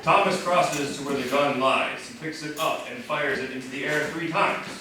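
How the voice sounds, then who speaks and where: In a very echoey room with clear noise, a man reads stage directions aloud. His voice is fairly high and varied, picked up from a distance. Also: moderate pace.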